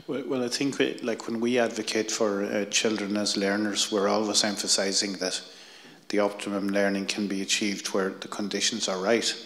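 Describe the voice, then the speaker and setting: Deep, flat-voiced Irish man. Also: normal speed. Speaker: panelist, union general secretary. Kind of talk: panel discussion. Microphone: handheld mic.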